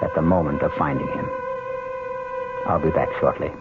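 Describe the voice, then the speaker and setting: A man hosting a radio mystery, narrating from a script in a solemn, measured, dramatic way. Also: very deep voice, fairly even intonation. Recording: narrow old radio broadcast, loud music behind. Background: music.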